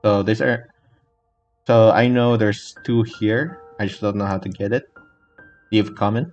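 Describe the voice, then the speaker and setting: A man's voice: on the low side, a little slow, darkish tone, dull audio. A man giving casual, unscripted gameplay commentary in a hesitant, rambling, easily distracted way. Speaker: gaming video creator. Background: faint game music.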